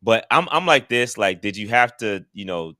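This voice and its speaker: Man, low voice, bright edge, laid-back co-host, dry sound. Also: animated, moderate pace, dry recording. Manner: easygoing, slangy, says "man" a lot. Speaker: podcast co-host. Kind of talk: casual podcast chat.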